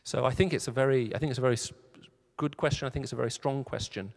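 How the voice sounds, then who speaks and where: A man's voice: mid-range voice, quick pace, gravelly. A man on a forum panel, answering a question and often repeating words as he talks. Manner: repeats words, restarts phrases. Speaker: panel speaker. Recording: long reverb of a large hall.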